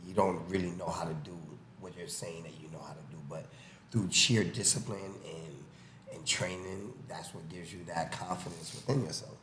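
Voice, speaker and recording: A man speaking casually and emphatically as a boxing coach and podcast guest, telling personal stories and explaining with examples. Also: low-pitched. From born in the US, early childhood in Haiti, then raised in New York. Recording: echoey room with faint background noise.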